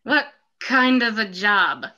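A woman's voice: fairly high pitch, lively intonation, slow pace, sharp tone, dull audio, voice pushed down, full character voice. A young woman playing a skeptical, no-nonsense male plumber in an emphatic screenplay reading. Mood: suspicious. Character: skeptical, stern Brooklyn plumber man.

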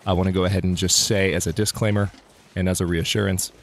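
Let's play host, male, low voice, slightly congested, rambling self-correcting commentary, faint room ambience. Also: flat delivery, fast, a little hiss or hum. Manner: chatty, thinks aloud, frequent restarts. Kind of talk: casual gameplay commentary.

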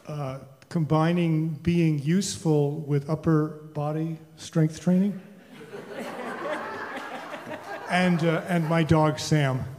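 A man with a slightly high voice speaks very slowly and casually to his congregation. The church hall adds reverb, and people are faintly heard. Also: fairly even intonation, darkish tone, a faint crowd. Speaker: congregant sharing personal joys. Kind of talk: casual, halting sharing in church. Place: large church sanctuary.

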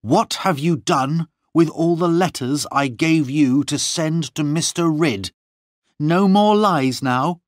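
Man voicing an earnest young noblewoman, high-pitched, tender. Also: expressive, slow, dry recording, clean studio sound. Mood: quietly angry.

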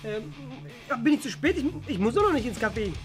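A man's voice: very high voice, sing-song, quick pace, over music. A young man playing an evasive, whiny teenage schoolboy in an improvised family sketch, hesitant and defensive. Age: young adult. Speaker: actor in a family web series. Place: small bright rooms indoors.

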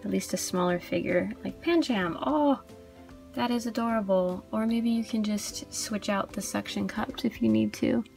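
A woman's voice: mid-range voice, normal speed, gravelly, darkish tone. A young adult woman casually hosting a toy unboxing, chatting in a chirpy, gushing way about cute things. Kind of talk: casual unboxing review chat. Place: indoors at a tabletop display. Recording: background music under voice. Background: music.

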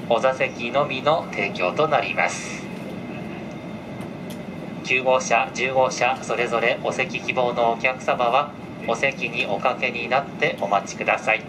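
A male train conductor speaks at a middle pitch in formal, courteous announcement style. His measured words come over the car's PA, with clear ventilation and vehicle noise around them. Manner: very polite, measured, formal phrasing. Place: inside a train car. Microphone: camera picking up cabin loudspeaker.

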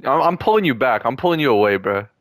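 A man's voice: mid-range voice, animated, fast talker, a bit bright, no echo, studio quality. Joking young man trash-talking a friend. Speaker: gamer. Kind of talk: casual gaming chat with a friend.

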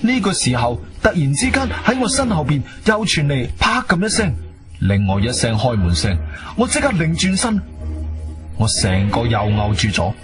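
A man acting as an intrepid adventurer, telling his story in expressive, suspenseful Cantonese radio-drama style. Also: on the high side, moderate pace. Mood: ominous. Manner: expressive, suspenseful, colloquial delivery. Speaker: narrator and lead actor. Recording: background music under the voice.

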